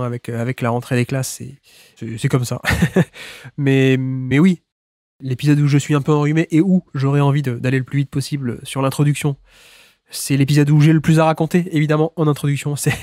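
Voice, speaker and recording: A man's voice: mid-range voice, darkish tone, dry recording, studio quality. A man with a cold hosting a basketball podcast, chatting through the introduction with restarts and small laughs. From France. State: has a cold. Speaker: podcast host.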